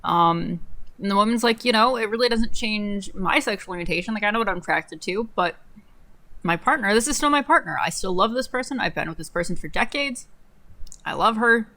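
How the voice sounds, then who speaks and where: A young woman co-hosting a livestream, talking casually in a halting, conversational way. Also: medium pitch, lively intonation, sharp tone. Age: young adult. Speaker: co-host of a livestream. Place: bedroom, indoors. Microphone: desk microphone on a boom arm.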